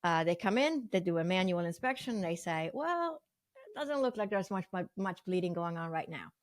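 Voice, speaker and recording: A woman's voice: fairly low pitch, very animated, normal speed. Earnest older woman from Sweden. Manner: earnest, vivid storytelling with fillers. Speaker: guest. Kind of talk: storytelling interview talk.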